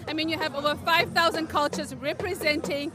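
A woman's voice: sits high, steady tone, fairly quick. A woman presenting a festival report as host, upbeat and enthusiastic. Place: outdoor street festival. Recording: festival music in the background. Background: music.

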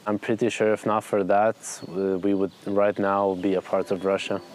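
A man's voice: fairly low pitch, moderate pace. A young man gives casual, hesitant interview answers, often filling with "like". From Lithuania. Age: young adult. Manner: relaxed, hesitant, with frequent "like" fillers.

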